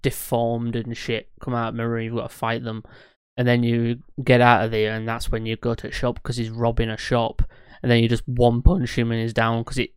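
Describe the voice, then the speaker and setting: A young man in his twenties talks through a casual podcast chat, rambling and animated and swearing freely. His voice is smooth and clear. The sound is dry and close. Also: mid-range voice, fairly quick, no echo. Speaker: podcast co-host.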